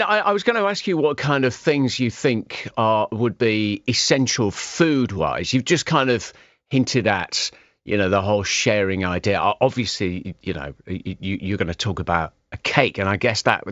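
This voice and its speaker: A hoarse man presenting a radio show, chatting playfully with a guest. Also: lowish voice, lively intonation, normal speed, a bit bright, no room sound. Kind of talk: casual radio chat. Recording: slightly dull lo-fi sound.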